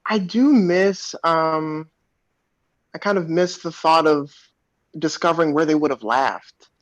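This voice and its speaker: A man in casual panel chat, reflective and measured, searching a little for his words. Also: fairly high pitch, no room sound, muffled recording. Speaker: actor on a panel.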